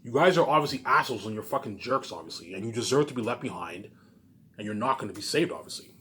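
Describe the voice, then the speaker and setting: A man's voice: mid-range voice, animated, fast, pushed and bright, a little hiss or hum. A man giving an informal, rambling monologue to viewers, agitated and emphatic, swearing and repeating himself, often trailing off at the ends of phrases.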